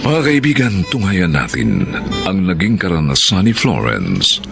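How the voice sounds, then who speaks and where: A man's voice: deep voice, wildly swooping pitch, measured pace, creaky. A Filipino man giving dramatic scripted narration as the announcer of a radio drama. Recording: loud guitar music underneath.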